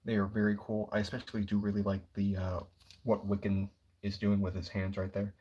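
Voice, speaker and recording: A young man talking quietly and casually on a livestream, rambling about comic books with lots of fillers. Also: on the low side, completely flat delivery, brisk, breathy edge, highs cut off. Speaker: comic book streamer.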